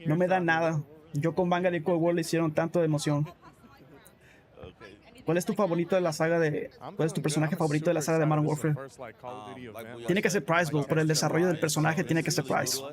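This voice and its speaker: A man's voice: fairly high pitch, flat delivery, brisk, long pauses, a crowd in the background. A man streaming live, answering chat in a casual way, calling viewers bro and often restarting his sentences. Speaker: gaming streamer.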